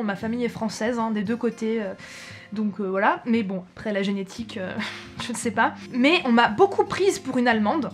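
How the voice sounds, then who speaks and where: Young French woman vlogger, chatty and hesitant, slightly high voice, faint music underneath. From France. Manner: chatty, self-correcting, frequent hesitations. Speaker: travel vlogger. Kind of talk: casual chat to camera.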